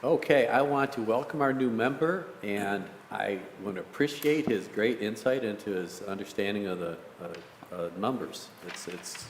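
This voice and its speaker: A man on a planning commission giving loud, rambling casual remarks at a public meeting. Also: on the low side, normal speed, hardly any pauses, slight background noise. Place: council chamber, echoey room. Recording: echoey hall from a room mic.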